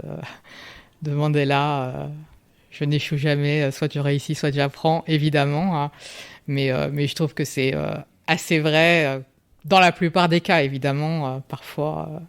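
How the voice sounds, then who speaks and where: Clear-voiced woman in her forties. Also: low-pitched. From Paris, France. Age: 40s. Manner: relaxed, reflective, tags sentences with "hein". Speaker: startup founder, guest. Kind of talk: casual interview chat.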